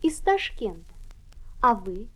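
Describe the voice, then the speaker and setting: A woman reading textbook dialogue lines in clear, plain model speech. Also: fairly high pitch, very animated, slow pace, pushed and bright.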